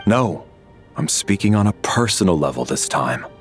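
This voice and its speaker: An actor playing a composed, principled military man with a kind streak, measured and formal, in a game dub. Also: deep voice, very animated. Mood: concerned. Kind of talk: English game dub voice acting. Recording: music under the voice.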